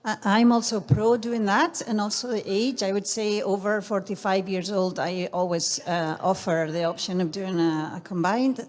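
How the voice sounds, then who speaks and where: A woman's voice: medium pitch, steady tone, normal speed, hardly any pauses, a little mellow. A female eye surgeon from Spain on a conference panel, speaking earnestly and often restarting her sentences.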